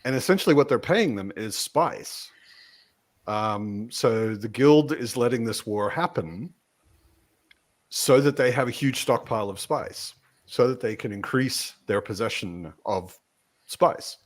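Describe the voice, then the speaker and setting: A man's voice: medium pitch, animated, a little slow. A man in a casual book discussion, explaining his points conversationally and often adding "you know.".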